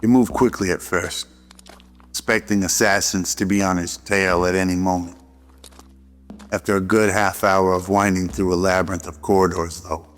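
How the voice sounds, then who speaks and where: Low male narrator for a radio drama, flat delivery, big reverb, faint music.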